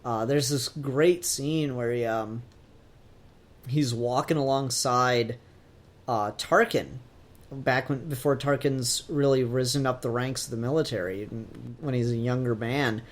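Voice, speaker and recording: A man's voice: mid-range voice, fairly even intonation, unhurried. A man talking casually as a podcast host, opinionated and emphatic, swearing freely. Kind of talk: casual pop-culture podcast discussion.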